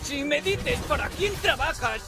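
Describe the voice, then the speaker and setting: A man plays a cheeky young superhero with a very high, swooping voice. He is sarcastic and quick with a quip, in video game style. Loud music plays over him. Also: lively intonation, creaky, heavily acted. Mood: determined. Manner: quippy, sarcastic. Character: wisecracking young male superhero, nimble and cheeky. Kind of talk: video game voice acting, fan dub.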